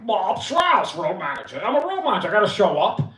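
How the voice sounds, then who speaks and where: A man's voice: high voice, huge pitch swings, a little fast, fairly bright tone, heavily acted. A man putting on a voice as a brash, boastful veteran road manager who rambles and talks about himself in third person, as a comic bit on a live stream. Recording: roomy echo.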